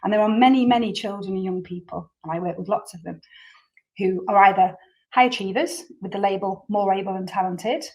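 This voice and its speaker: A woman's voice: mid-range voice, fairly quick, a little mellow, poor audio. Earnest woman podcast host. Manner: earnest, rambling intro with many repeats. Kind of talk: casual interview chat.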